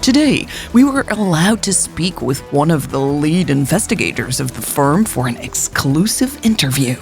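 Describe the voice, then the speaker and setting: A woman's voice: deep voice, sing-song, normal speed, faint music behind. A woman playing a dramatic investigative news reporter in an audio story.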